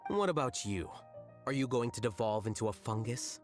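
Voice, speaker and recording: An actor playing an aloof, rational young scholar in a video game, speaking in a deadpan, precise and formal way. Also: male voice, medium pitch, normal speed, soft background music, natural voice. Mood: dry, cutting. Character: aloof, blunt, rational young male scholar and acting sage.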